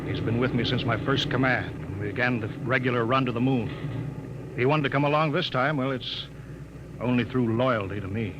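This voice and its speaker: A man's voice: medium pitch, a little fast, natural voice. An actor in a 1950s radio drama playing a commanding, short-tempered male spaceship captain who barks clipped orders. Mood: stern. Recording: dull, noisy old radio recording.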